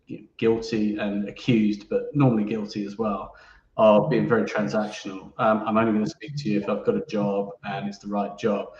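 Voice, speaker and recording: A man's voice: fairly low pitch, a little mellow. Roomy, muffled male interview host. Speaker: host, company co-founder. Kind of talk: live business interview, casual chat. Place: indoor room, online live stream. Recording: roomy, muffled microphone with background noise.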